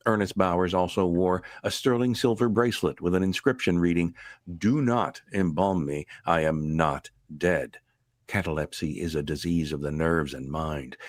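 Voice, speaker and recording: A man playing a grave, matter-of-fact storyteller, narrating a vintage radio suspense tale in a formal, measured way. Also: low-pitched, dry recording, studio quality. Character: grave, matter-of-fact male storyteller reading an accident report.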